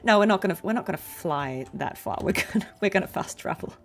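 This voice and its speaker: A woman's voice: medium pitch, expressive, fast talker, gravelly. A woman chatting casually on a livestream while commentating on a video game, giggly and often interrupting herself. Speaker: game streamer. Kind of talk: casual livestream chat and gameplay commentary, reading dialogue aloud. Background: video game music.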